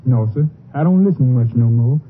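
An actor playing a frightened, deferential farmhand held prisoner in a 1950s radio western, folksy, with a Southern US accent. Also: male voice, medium pitch, animated, moderate pace, narrow, tinny audio, lightly acted. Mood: pleading. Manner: humble, folksy, respectful. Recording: thin, band-limited 1950s radio recording.

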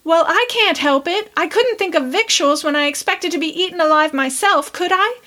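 A woman's voice: very high voice, normal speed, pushed and bright, pitched above the performer's own voice. A woman voicing a timid young farm girl with pleading rustic speech, in a storybook reading.

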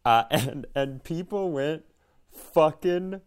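A man's voice: on the high side, animated, slow pace, clear, resonant tone. An American man chatting and telling funny stories casually as a podcast co-host. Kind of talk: casual comedic chat and storytelling.

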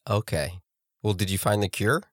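A man with a very low voice hosts a laid-back podcast, a little stuffed-up from a cold. He jokes around and laughs easily, and the sound is dry and close with no room echo. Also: moderate pace. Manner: relaxed, jokey, laughs often. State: congested from a cold. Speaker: podcast co-host. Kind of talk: casual podcast chat. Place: studio across from co-host.

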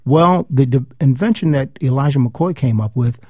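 A man's voice: medium pitch, moderate pace, a little mellow, dry recording, studio quality. A man speaking as an author and interview guest, explaining things conversationally.

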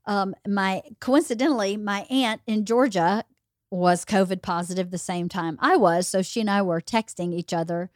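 A woman hosting a podcast in casual chat, her voice a little hoarse as she loses it, talking in a rambling, chatty way. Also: medium pitch, moderate pace, no room sound, clean studio sound. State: slightly hoarse, losing her voice. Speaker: podcast host.

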